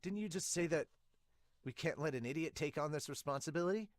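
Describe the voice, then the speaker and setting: A man voicing a brash, dim-witted, emotional young male soldier in a manga dub, loud and indignant. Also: a little high, a little fast, no echo, pitched above the performer's own voice, natural voice.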